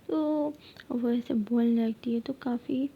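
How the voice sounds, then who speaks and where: A woman tells a story almost entirely in a soft, steady whisper. Her voice sits a little high but hardly moves in pitch. The recording sounds a touch dull, with a faint rumble underneath.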